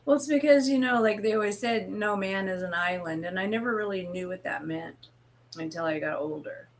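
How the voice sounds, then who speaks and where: A woman's voice: medium pitch, a little fast, a little hiss or hum. A woman chatting casually as a panel guest, blunt and wry, with salty language. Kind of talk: casual chat.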